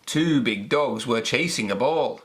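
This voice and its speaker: A woman narrating a children's storybook aloud in a deliberate, expressive manner. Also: a bass voice.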